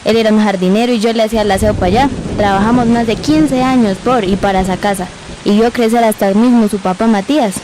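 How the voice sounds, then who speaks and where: Old rural Colombian grandmother played by a woman, nostalgic and plainspoken, radio drama, mid-pitched, over clearly noisy rain. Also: steady tone, quick pace, lightly acted. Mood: nostalgic storytelling. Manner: folksy rural storyteller speech. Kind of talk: amateur radio drama acting.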